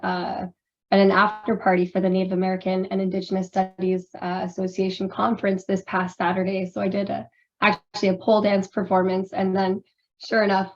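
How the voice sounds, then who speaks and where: A woman panelist who performs drag, speaking casually and candidly in a hoarse voice she says she has lost, with many fillers. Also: mid-range voice, dull audio.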